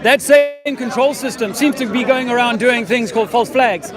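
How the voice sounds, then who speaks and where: High-voiced man ranting outdoors. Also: moderate pace. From South Africa. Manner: profane, rambling, insistent. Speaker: vlogger, army veteran. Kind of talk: street-corner conspiracy monologue. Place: outdoor public speaking park. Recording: outdoor crowd noise behind.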